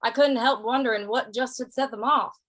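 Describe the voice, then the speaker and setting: A woman's voice: fairly high pitch, fairly even intonation, a little fast, a bit bright. A woman playing an amiable, curious cowboy in an old-time western radio drama, with a mild, hesitant, folksy way of talking. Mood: musing.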